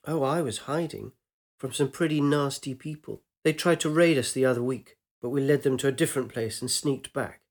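A man's voice: mid-range voice, normal speed, natural voice. A man voicing a bold, reckless young woman surviving in a ruined city, playful and pushy in a spoken story. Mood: chatty.

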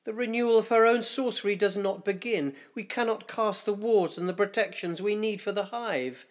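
A man voicing worried old councillors of a dying hive, debating in a fretful, hushed way. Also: high-pitched, moderate pace, voice pushed up, natural voice. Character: anxious, whispering councillors of a fading hive.

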